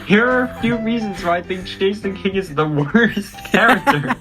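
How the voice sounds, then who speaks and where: A woman takes part in a casual read-along, reading script lines and commenting as they go. Music is clearly audible behind the voice. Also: medium pitch, animated, normal speed, a bit bright. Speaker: co-reader.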